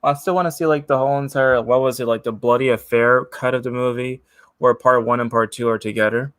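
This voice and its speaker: Male host, mid-pitched, loose and chatty with fillers, dry sound. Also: steady tone, brisk, fairly bright tone, smooth, no room sound. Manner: chatty, lots of "like", laughs easily. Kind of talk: casual chat.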